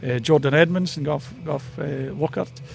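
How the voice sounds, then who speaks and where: A man's voice: on the high side, moderate pace, creaky, a little mellow. A man with a Scottish Borders accent giving a casual, hesitant, rambling interview about his club.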